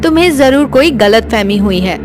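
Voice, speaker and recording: A woman's voice: on the high side, lively intonation, normal speed, close to an everyday voice. A woman voicing a young college student in a horror cartoon, in a plain manner. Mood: explaining. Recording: music behind the voice.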